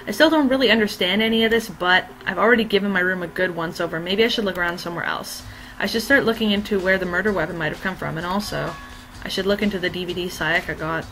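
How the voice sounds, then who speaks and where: A woman with a slightly hoarse voice, voicing an earnest, easily flustered teenage boy student. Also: on the low side, quick pace, soft background music, low-quality recording. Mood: puzzled. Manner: reads game text aloud in a plain, bright tone.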